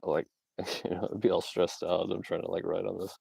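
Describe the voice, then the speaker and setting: Low gravelly young man livestreaming. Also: little pitch movement, a little fast, warm tone, no room sound. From Georgia, US South. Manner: rambling, filler-heavy, laughs at himself. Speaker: livestream host. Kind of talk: casual livestream chat. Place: outdoors.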